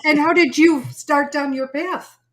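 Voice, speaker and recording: Woman host, kinda high voice, eager, trips on her words. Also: steady tone, measured pace. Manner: eager, stumbling over words. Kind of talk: interview.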